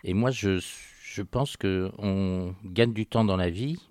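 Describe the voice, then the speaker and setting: Older male radio guest, faint Parisian accent, low-pitched, soft and measured. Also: no echo, studio quality. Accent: slight Parisian French. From Paris, France. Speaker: radio guest, heritage association president. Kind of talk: casual radio interview. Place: radio studio.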